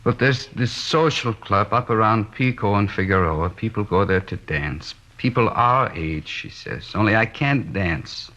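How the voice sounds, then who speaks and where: Dull old radio recording of a middle-aged American working man worn down by his wife. His low voice is plaintive and weary. He rambles and hesitates, unable to make up his mind, in 1950s radio play style. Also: animated, measured pace, few pauses, pushed and bright. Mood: aggrieved. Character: henpecked middle-aged plasterer, weary, plaintive, indecisive husband. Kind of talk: 1950s radio drama acting.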